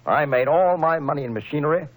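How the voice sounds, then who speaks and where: An actor playing a proud, wealthy motor car inventor in an old radio drama, emphatic and earnest, with a husky voice. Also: male voice, fairly high pitch, lively intonation, quick pace, natural voice. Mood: proud, enthusiastic.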